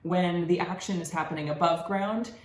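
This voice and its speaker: Young female student presenter, low register, explanatory and articulate, reading prepared research in a roomy space with slight background noise. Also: normal speed. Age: young adult. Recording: echoey room with background noise.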